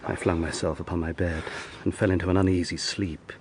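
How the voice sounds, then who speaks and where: An actor playing an earnest, sensitive young lawyer in an audio drama, gentle and literary in his telling. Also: deep voice, little pitch movement, fairly quick, noisy background, natural voice. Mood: brooding. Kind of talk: audio drama narration and acting.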